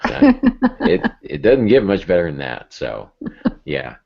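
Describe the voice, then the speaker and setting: A man with an exceptionally deep voice hosts a casual podcast discussion. He talks things through reflectively and conversationally. The recording is a little muffled and lo-fi. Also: sing-song, measured pace, gravelly. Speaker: podcast host. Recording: dull, lo-fi podcast audio.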